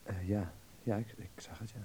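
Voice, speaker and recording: A man's voice: on the low side, fairly even intonation, normal speed, warm tone, breathy edge. An actor playing a naive, uneasy young Dutchman abroad in a radio drama, hesitant and searching for words. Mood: embarrassed, flustered.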